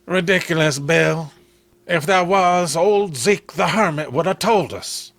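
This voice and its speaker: A skeptical small-town sheriff from a horror comic, voiced by a male reader. He is commanding and brisk, quick to dismiss wild tales. The sound is bright and dry. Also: fairly high pitch, vocal fry, dry recording. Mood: dismissive. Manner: dismissive, authoritative.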